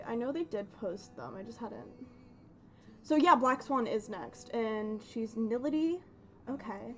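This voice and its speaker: High-pitched woman chatting on a gaming livestream. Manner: rambling, playful, breaks off mid-thought. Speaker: livestream host. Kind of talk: casual gaming stream chat.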